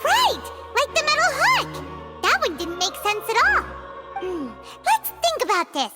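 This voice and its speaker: A tiny fairy sidekick with an extremely high, childlike squeak. Her delivery swings wildly, eager and self-important, like a game character playing detective, over prominent music. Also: huge pitch swings, measured pace, exaggerated voice. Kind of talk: animated video game voice acting.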